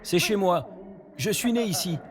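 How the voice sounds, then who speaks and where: A man's voice: high voice, lively intonation, unhurried. A man speaking as a local guide in translated interview remarks.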